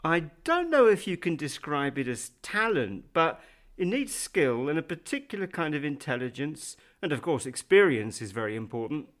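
Man reading lesson answers, slightly high. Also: big pitch swings, moderate pace, fairly bright tone. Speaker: lesson dialogue speaker.

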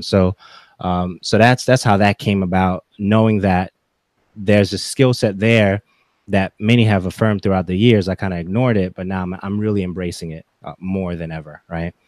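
A man in his forties talking reflectively as a podcast guest, with halting, deliberate phrasing. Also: low-pitched, a little mellow, no echo. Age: 40s. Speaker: podcast guest, gaming content creator. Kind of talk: reflective interview talk.

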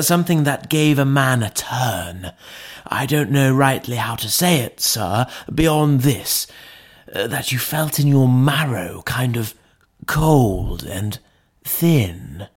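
A man voicing an agitated, deferential elderly butler in an audiobook, loyal and frightened, speaking haltingly and emphatically. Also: mid-range voice, voice pushed up. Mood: uneasy, earnest. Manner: halting, emphatic, servile address. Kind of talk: dramatized audiobook reading.

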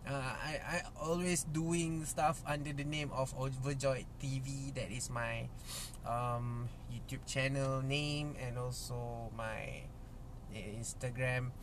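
A young Malaysian man, a native Malay speaker, hosting a casual solo podcast in English, halting with many fillers and restarts. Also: mid-range voice, steady tone, background noise. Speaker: podcast host.

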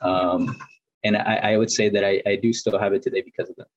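Low-voiced young man from Peru. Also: level tone, a little fast, no echo, lo-fi sound. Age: 20s. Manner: hesitant, many fillers and restarts. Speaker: guest. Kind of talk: casual interview answers.

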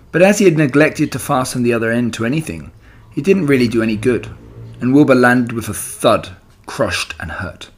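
A man reading a children's story aloud in an expressive narrating style. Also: medium pitch, breathy edge. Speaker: storybook reader.

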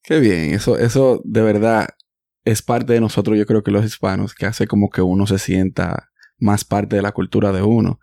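A Dominican man hosts a conversation in a low, gravelly voice with a dark, mellow tone. He speaks calmly, agrees often and picks up his guest's words to finish them. The sound is dry and close. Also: normal speed, dry recording. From Dominican Republic. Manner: calm, affirming, finishes the guest's thoughts. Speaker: podcast host. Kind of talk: casual interview conversation.

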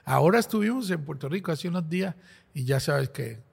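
A Venezuelan man with a Venezuelan accent, a singer-songwriter guest explaining things in a friendly, warm way. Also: on the high side, expressive, fairly quick, creaky, husky, big-room sound. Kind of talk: casual interview.

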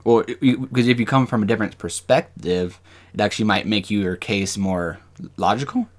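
A man co-hosting a podcast, talking casually and reading from notes, with halting, rambling explanations. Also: fairly low pitch. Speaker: podcast co-host. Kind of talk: casual discussion with some reading aloud from notes.

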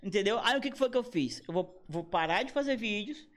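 A man in his thirties, a comedian and motion designer, chatting casually in a slangy, animated way with quoted asides. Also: sits high, brisk. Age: 30s. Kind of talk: casual podcast conversation.

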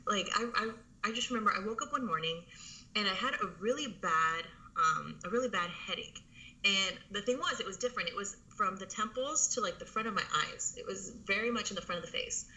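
A woman's voice: mid-range voice, animated, a little fast, pushed and bright, poor audio. A woman in her thirties, an interview guest telling her story in a casual, rambling way with lots of fillers and restarts. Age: 30s.

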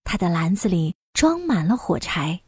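A woman storyteller reading a scripted fairy tale for young children in an expressive manner. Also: fairly low pitch, normal speed, husky, no room sound.

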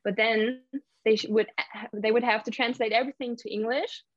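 A woman's voice: fairly high pitch, fairly even intonation, brisk, dry recording. Woman guest on dull, dry audio. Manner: thoughtful, hesitant with many ums. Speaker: interview guest. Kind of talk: casual interview chat.

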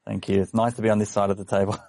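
Gravelly man telling his story. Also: lowish voice, fast, no echo, clean studio sound. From Australia. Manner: relaxed storytelling with frequent fillers and small laughs. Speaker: guest. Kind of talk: casual interview chat. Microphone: studio microphone.

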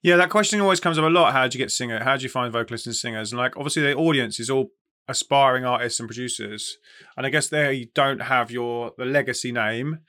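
A man's voice: mid-range voice, fast talker, bright, forceful tone. A man hosting a casual livestream interview, speaking informally with plenty of slang. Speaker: interview host.

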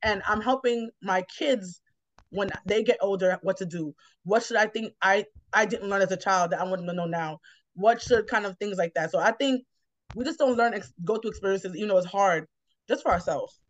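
A woman's voice: mid-range voice, normal speed, no echo. A woman chatting reflectively as host, explaining things in a rambling, encouraging way. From New York, United States. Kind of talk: casual reflective conversation. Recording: slightly dull, lo-fi, dry sound.

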